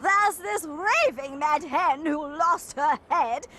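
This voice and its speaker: Very high, melodramatic cartoon owl. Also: female voice, slow pace, heavy background noise. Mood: alarmed. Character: melodramatic gossiping hooting owl. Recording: loud music under voice.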